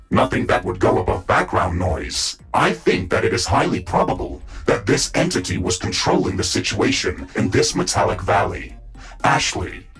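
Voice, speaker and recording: An actor playing a calm, matter-of-fact male AI of a mecha suit in a sci-fi game, with clipped, formal technical reporting and a synthetic delivery. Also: low-pitched. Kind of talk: scripted sci-fi game dialogue.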